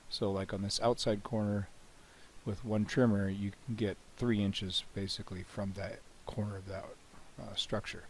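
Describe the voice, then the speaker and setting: A man with a cold, hoarse and often clearing his throat, casually talking through a software demo with many "you know"s and restarts. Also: lowish voice, normal speed, slight background noise. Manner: relaxed, rambling, frequent "you know" and restarts. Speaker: software developer demonstrating his plugin. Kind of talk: screen-recorded software walkthrough.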